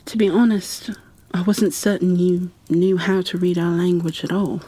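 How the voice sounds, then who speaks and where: A woman playing a gentle, shy, bookish bookkeeper in an ASMR roleplay, soft-spoken and half-whispering, with a hesitant, apologetic way of talking. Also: lowish voice, fairly even intonation, husky. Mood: shy, rambling. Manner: hesitant, apologetic, halting, careful. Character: gentle, shy, bookish female creature bookkeeper, peace-loving and kindly.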